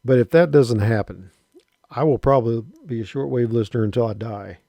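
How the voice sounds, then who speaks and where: A man in his late fifties talks in a relaxed, rambling way, stopping and restarting as he remembers. His voice is dark and mellow. The recording is dry, with no room sound. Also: medium pitch, gravelly, no room sound. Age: 50s. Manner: rambling, halting, many restarts. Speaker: shortwave radio hobbyist. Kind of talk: casual personal storytelling. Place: rural home.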